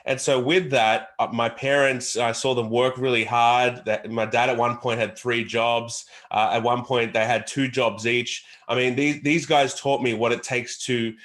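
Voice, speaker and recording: A man's voice: mid-range voice, fairly even intonation, unhurried, hardly any pauses, a bit bright. A man with an Australian accent answering interview questions as a guest entrepreneur, in an earnest, emphatic, motivational way with repeated phrases.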